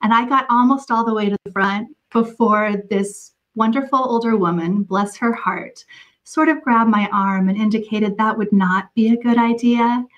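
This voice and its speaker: A woman novelist speaking as a podcast guest, answering conversationally in a measured, articulate manner. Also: fairly high pitch, a bit bright, lo-fi sound. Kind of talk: conversational anecdotal interview answers.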